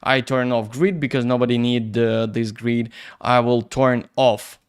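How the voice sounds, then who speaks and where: Slow, halting non-native English-speaking man. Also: mid-range voice, fairly even intonation, smooth. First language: not English. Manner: thinks aloud while working. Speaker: 3D visualization instructor. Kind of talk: screen-recorded software tutorial.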